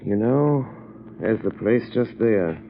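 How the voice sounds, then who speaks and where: An actor playing a suave, wealthy gentleman sleuth with an urbane, lightly wry manner in 1940s radio style. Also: on the low side, expressive, slow pace, vocal fry, a little hiss or hum, full character voice. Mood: urbane, relaxed. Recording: dull old radio recording, music behind. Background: faint music.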